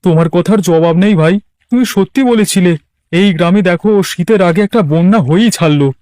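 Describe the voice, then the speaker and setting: A man's voice: high voice, brisk, darkish tone, no room sound, clean studio sound, close to an everyday voice. A man voicing an anxious, lamenting poor village farmer in a cartoon, plaintive and exclamatory. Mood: plaintive, indignant. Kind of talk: Bengali cartoon voice acting.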